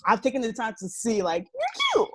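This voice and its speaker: Woman on a podcast, very bouncy pitch, jokey and laughing a lot, bit of hiss behind. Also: normal speed. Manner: expressive, playful, laughs often. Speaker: podcast co-host. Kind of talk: casual chat.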